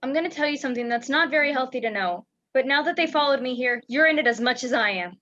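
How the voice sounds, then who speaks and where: A woman's voice: high voice, fast, sharp tone. A young woman playing a frightened, secretive spy in an amateur radio play, speaking urgently and dramatically. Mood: urgent, grave. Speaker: student actor in a school radio play. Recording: dull lo-fi home recording.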